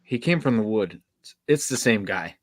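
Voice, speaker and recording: A man reading an awkward, brainy young male camp counselor in a live horror script read, halting but precise. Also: lowish voice, expressive, dry recording, studio quality. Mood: uneasy.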